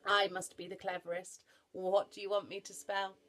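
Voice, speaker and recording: A woman voicing a boastful, thick-skinned rhinoceros in a storybook, with a forceful, emphatic manner. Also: mid-range voice, level tone, moderate pace, lightly acted.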